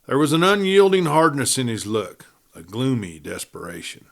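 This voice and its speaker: Measured male western audiobook narrator. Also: medium pitch, sing-song, gravelly. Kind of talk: scripted western story narration.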